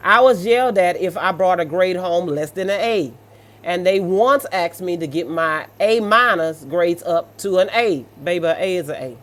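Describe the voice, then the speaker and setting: A mid-pitched American voice reads stories aloud and comments on them off the cuff. The delivery is sassy and blunt, with colloquial asides in a scolding manner. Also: expressive, normal speed, fairly bright tone. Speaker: host reading and reacting to online stories.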